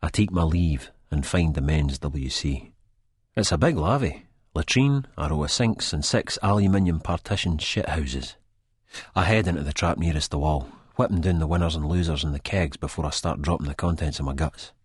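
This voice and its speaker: An actor playing an anxious Scottish man in a first-person audiobook, with a deep, husky voice. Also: moderate pace, dry recording, studio quality. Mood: wry, relieved. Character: anxious Scottish man narrating in sheer terror of an old enemy.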